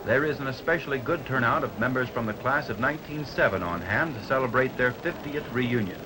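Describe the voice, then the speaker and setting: An American man narrating a 1950s newsreel from a script, in a formal, measured announcer's delivery. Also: mid-range voice, lively intonation. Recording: 1950s newsreel soundtrack with faint noise.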